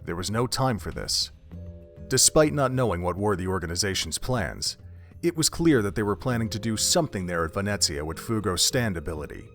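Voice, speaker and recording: Low-voiced male story narrator. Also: big pitch swings, a little fast, soft background music.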